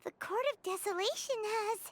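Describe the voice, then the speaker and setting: Tiny squeaky childlike companion creature. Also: female voice, moderate pace. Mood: eager, curious. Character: small high-voiced childlike companion creature. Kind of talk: video game dialogue.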